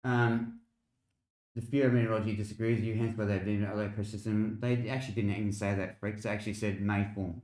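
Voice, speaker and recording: A low-pitched Australian man speaks in a noticeably roomy, echoing space. He hosts a live weather commentary in an informal, conversational way, explaining as he goes and answering the chat. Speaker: weather livestream host.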